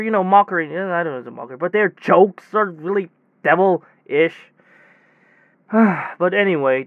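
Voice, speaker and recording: A man playing a weary, exasperated technician who tells his bizarre tale in a rambling, halting, conversational audio drama monologue. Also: a little high, smooth, no echo, phone-quality sound, natural voice. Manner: rambling, halting, animated storytelling with sighs. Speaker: voice actor in a self-written audio drama.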